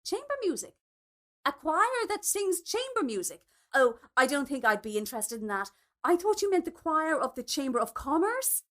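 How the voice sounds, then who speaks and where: A woman's voice: sits high, big pitch swings, normal speed, a bit bright. A woman voicing a snobbish, oblivious mother, effusive and chatty, in a short story reading. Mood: baffled, dismissive. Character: snobbish, gushing, oblivious middle-class mother.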